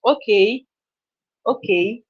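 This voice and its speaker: A woman's voice: on the high side, very slow, breathy edge. Chatty woman live streaming. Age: 30s. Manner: chatty, repeats herself, laughs often. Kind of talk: casual live stream chat. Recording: dull lo-fi stream audio.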